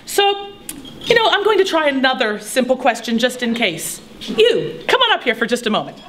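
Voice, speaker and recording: A woman's voice: high-pitched, sing-song, normal speed, echoey room, background noise. A woman playing a proud Spanish colonial teacher who lectures a crowd in a live history reenactment. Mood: instructing. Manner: theatrical, bossy, addressing a crowd.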